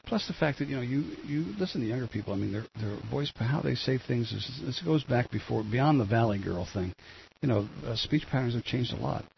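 Gravelly older man rambling through news. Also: mid-range voice, lively intonation, brisk. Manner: meandering, many fillers and restarts. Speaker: solo show host. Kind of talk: rambling solo commentary on news. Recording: dull lo-fi with faint noise.

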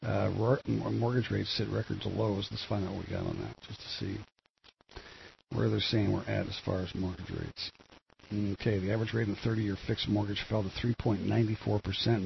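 An older man with a gravelly voice, hosting a rambling solo commentary on the news, full of fillers and restarts. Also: on the low side. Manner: meandering, many fillers and restarts. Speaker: solo show host. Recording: dull lo-fi with faint noise.

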